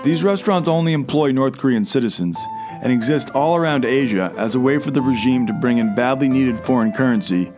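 A man's voice: mid-range voice, lively intonation, fairly quick. A man narrating his vlog in a scripted voice-over, wry and sarcastic. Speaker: vlogger, host. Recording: voice-over with loud music behind.

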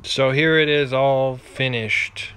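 A man in his thirties, a landlord giving a casual, halting, unscripted walkthrough voiceover. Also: mid-range voice, steady tone, pushed and bright, poor audio. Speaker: landlord and home renovator.

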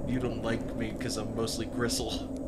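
A man's voice: lowish voice, monotone, moderate pace, very noisy, natural voice. A man playing an exasperated, ordinary-guy masked vigilante in an improvised comedy game dub, talking conversationally. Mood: indignant. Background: game music.

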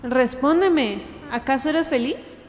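Woman acting high-voiced, pleading sister in radio drama. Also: slow. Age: young adult. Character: earnest, anguished younger woman pleading with her brother. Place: indoor green-screen studio. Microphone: handheld dynamic microphone shared close up. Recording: reverberant hall-like echo.

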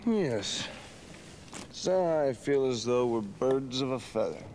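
An actor playing an earnest, reflective young adventurer, a romantic dreamer, in a dramatic video game cutscene. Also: male voice, mid-range voice, expressive, takes it slowly, a little mellow, a little hiss or hum. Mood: wistful.

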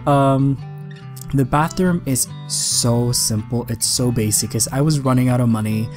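A young man giving casual, chatty and enthusiastic voice-over commentary for a game video, with a slightly raspy voice. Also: medium pitch, a little slow. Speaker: gaming video creator. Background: guitar music.

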